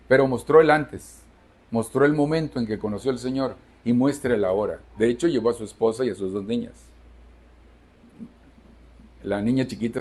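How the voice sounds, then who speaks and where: A man's voice: medium pitch, darkish tone, breathy edge. A Mexican man in his sixties preaching a Sunday sermon to his church, emphatic, asking the congregation questions and answering them himself. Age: 60s. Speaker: pastor. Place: church service.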